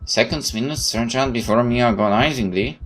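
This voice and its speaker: A man's voice: medium pitch, a little fast, fairly bright tone, slight background noise. A man hosting a gaming video, reading visual novel text aloud with stumbles and exasperated, sweary asides.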